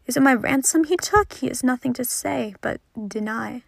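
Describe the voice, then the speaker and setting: Creaky, high-voiced teenage girl poet. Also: normal speed, dry recording. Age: teenager, fourteen. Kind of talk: introducing and reciting own poem.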